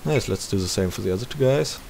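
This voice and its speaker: A man's voice: fairly low pitch, quick pace, vocal fry. A man giving a casual screen-recorded game tutorial, thinking aloud step by step. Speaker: tutorial presenter. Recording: steady hiss behind the voice.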